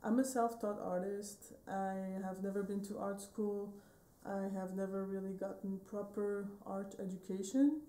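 A woman in her thirties from Switzerland, chatting casually about her painting in a relaxed, rambling way, with many fillers and small self-corrections. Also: mid-range voice, unhurried, hardly any pauses. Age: 30s. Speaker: painting vlogger. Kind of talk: casual tutorial chat. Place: home studio room, indoors, wooden shelves. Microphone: phone camera at desk distance.

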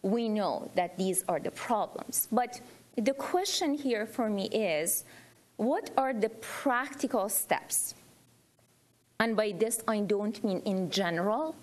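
A woman from Iran addresses a panel as a member of the audience at a public forum. Her voice sits at a medium pitch. She speaks earnestly and emphatically, repeating words to drive points home. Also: expressive, slow. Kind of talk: impromptu public remarks to a panel.

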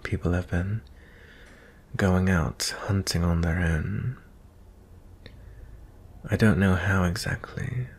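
A man playing a gentle, merciful young prince in an intimate ASMR fantasy roleplay, soft-spoken and hesitant, often slipping into whispers. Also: deep voice, fairly even intonation, slow pace, creaky, pauses often, slightly rough, heavily acted. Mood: apologetic, gentle. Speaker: audio roleplay performer.

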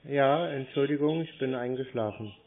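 A man's voice: mid-range voice, lightly acted. A man putting on a forgetful, drowsy very old man, muffled. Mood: drowsy, confused. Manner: slow-witted, vague, hesitant. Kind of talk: prank call to phone scammers. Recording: muffled narrowband phone line.

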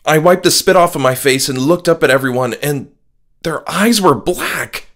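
A man's voice: fairly high pitch, lively intonation, moderate pace, a bit bright. Man voicing Omar, a frightened, agitated survivor. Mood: scared, insistent. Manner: agitated, insistent, breaking into urgent protest. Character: Omar, frightened injured male friend, agitated and defensive. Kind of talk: horror audiobook character dialogue.

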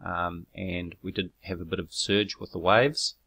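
New man, very low gravelly voice, explanatory voice-over with ums, argumentative edge. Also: steady tone, sharp tone. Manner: measured and explanatory, with frequent ums and self-corrections; argumentative when rebutting critics. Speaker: video creator explaining his own footage. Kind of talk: narrated screen walkthrough.

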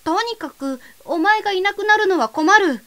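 A woman playing a small, naive, blustering nine-year-old boy wolf in an audio drama, with rough, boastful speech and flustered stammers. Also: very high-pitched, expressive, moderate pace, a bit bright. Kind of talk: scripted audio drama acting.

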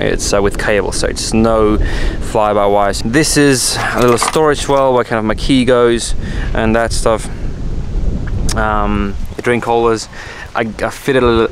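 A man presenting an informal walkthrough of his boat as its owner, explaining things casually and correcting himself as he goes. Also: fairly low pitch. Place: outdoors on an open motorboat on the water. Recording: outdoor ambient noise on boat.

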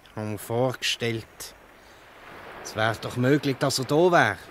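A man's voice: mid-range voice, measured pace, a bit bright, close to an everyday voice. A male actor plays a dutiful Swiss German policeman in a radio play. He is polite and official yet awkward and hesitant, with faint noise behind him. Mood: official, uneasy. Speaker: actor in an audio drama. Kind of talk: dialect radio play acting.

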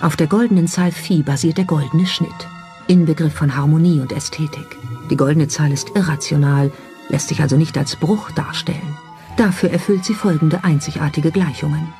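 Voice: medium pitch, steady tone, normal speed, dark tone. A narrator delivering a scripted documentary voice-over. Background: background music.

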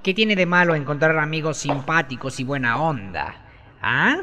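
A man's voice: a little high, moderate pace, sharp tone, slight background noise. A man playing a sarcastic, scolding and mocking character in an educational radio play. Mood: mocking, goading. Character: scornful, mocking man, harsh scolder and tempter. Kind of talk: educational radio soap acting.

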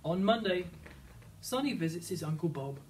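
High-pitched Englishman narrating a children's story. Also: animated, moderate pace, darkish tone. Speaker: teacher reading a picture book aloud. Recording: slightly distant microphone.

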